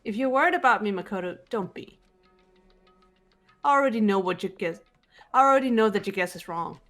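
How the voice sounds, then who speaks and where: A woman playing an aloof, guarded teenage girl with a cool, measured, curt delivery in an amateur video game dub. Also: medium pitch, expressive, fairly bright tone, natural voice. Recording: music behind the voice.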